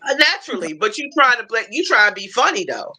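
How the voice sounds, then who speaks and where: An American woman hosting a casual livestream, telling stories in an animated way, repeating phrases and acting out what people said. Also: fairly high pitch, fast talker, slightly rough. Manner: animated storytelling, repeats phrases, acts out quotes. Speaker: livestream host.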